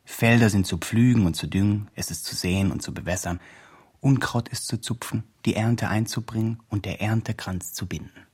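A man's voice: fairly low pitch, normal speed, darkish tone, breathy edge. An actor playing an earnest, upbeat young fundraising campaigner who narrates his progress in an eager, self-important way. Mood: earnest, pleased. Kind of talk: scripted campaign diary narration.

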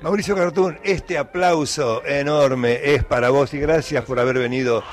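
A man's voice: medium pitch, fairly even intonation, moderate pace. A man with a Rioplatense accent hosting a casual radio interview, effusive and prone to repeating himself. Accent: Argentine Rioplatense. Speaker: radio show host. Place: radio studio.

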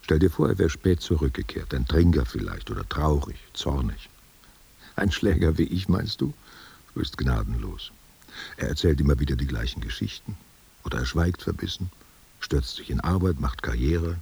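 An actor playing a gruff but tender, brooding old man in a literary radio play, reflective and patronising. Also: extremely low pitch, very animated, moderate pace, a little mellow, full character voice. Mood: brooding, probing. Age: older. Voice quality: husky, gravelly.

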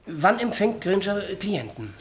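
A man's voice: sits high, very animated, measured pace, background noise. An actor playing a proud, earnest young surgeon seeking his fortune in a German radio play, speaking formally. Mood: earnest, hopeful.

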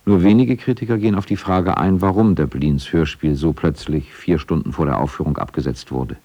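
A man's voice: very deep voice, brisk, mellow, dry recording. A man narrating a scripted radio documentary.

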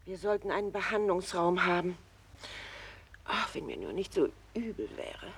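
An actress playing an agitated, dutiful hospital nurse who survived a disaster, breathless and excitable in a 1960s German radio play. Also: female voice, lowish voice, moderate pace, a little hiss or hum. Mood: anxious, strained.

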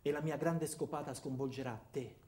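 An actor playing an ordinary man turned bitter and cruel, delivering a deliberate, theatrical first-person monologue for a radio drama. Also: medium pitch, steady tone, lightly acted. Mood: menacing, obsessive. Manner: theatrical, deliberate, swinging from loud to hushed.

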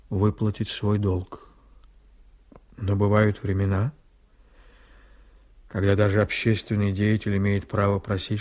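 An actor in a radio play playing an embattled, dignified district attorney who speaks with serious restraint. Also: male voice, on the low side, a little mellow.

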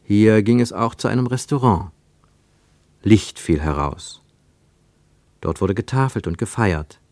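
A male narrator reads literary prose for a radio play. His lowish voice moves with lively intonation and dramatic, deliberate phrasing, recorded very dry in a studio. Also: dry recording.

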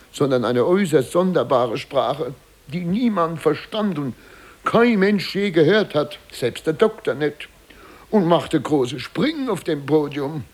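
Flustered mayor, animated storyteller. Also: male voice, medium pitch, breathy edge, full character voice. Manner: hesitant, wordy, dramatic storytelling with interjections. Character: flustered, self-important small-town German mayor recounting a scandal. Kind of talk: 1950s German radio play acting.

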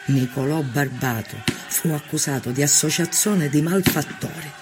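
Actor as impassioned Sicilian peasant, higher-pitched voice. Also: male voice, normal speed, noisy background, close to an everyday voice. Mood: indignant. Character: impassioned poor Sicilian peasant militant of 1893. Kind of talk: dramatized documentary testimony.

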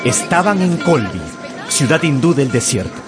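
A man narrating a radio drama in Spanish with deliberate, suspenseful storytelling. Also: fairly high pitch, big pitch swings. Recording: music behind the voice.

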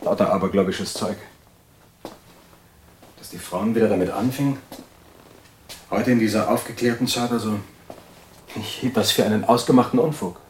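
An actor playing an uneasy asylum inmate, recounting his life in a confiding, halting radio drama monologue. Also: lowish voice, a little mellow, slight background noise, lightly acted. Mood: dismissive.

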